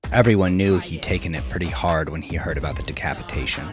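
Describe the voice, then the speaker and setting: Deep male crime-story narrator. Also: quick pace. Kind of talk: scripted crime fiction narration. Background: music under the voice.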